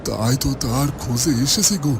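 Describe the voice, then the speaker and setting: A man playing an anxious, cautious village father in a fairytale cartoon, speaking politely and haltingly. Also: medium pitch, animated, vocal fry, background noise. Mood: earnest. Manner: halting, polite, stammering when probing. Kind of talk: Bengali fairytale cartoon dubbing. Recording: music behind the voice.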